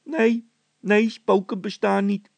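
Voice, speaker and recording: A man playing a bossy, gruff labourer who snaps at others and scoffs at ghost tales. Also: sits high, little pitch movement, takes it slowly, no room sound, voice pushed up, full character voice. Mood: dismissive. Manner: snappish, bossy.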